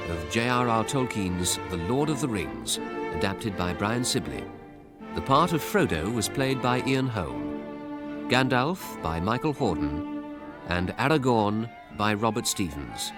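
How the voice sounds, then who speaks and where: A man reading formal scripted radio drama credits in a measured announcer's manner. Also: on the low side, big pitch swings. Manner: measured, clearly enunciated. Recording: orchestral string music behind voice.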